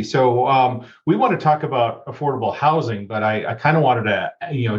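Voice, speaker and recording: A man hosting a casual podcast, easygoing and jokey. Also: fairly low pitch. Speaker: podcast host. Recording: dull lo-fi sound.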